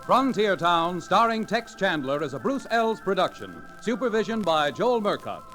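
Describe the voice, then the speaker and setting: A man's voice: sits high, very animated, moderate pace, rough recording. A man working as an announcer, reading scripted credits in a 1940s radio style. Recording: vintage radio recording. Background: music.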